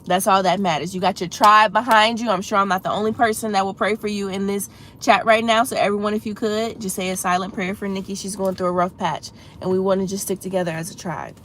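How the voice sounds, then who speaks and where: Female livestream host, congested and slightly froggy, earnest and encouraging, low rumble in the background. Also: fairly even intonation, fast talker, fairly bright tone. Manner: earnest, encouraging, talks straight to chat with many "you know"s. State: congested with a head cold. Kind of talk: casual livestream chat.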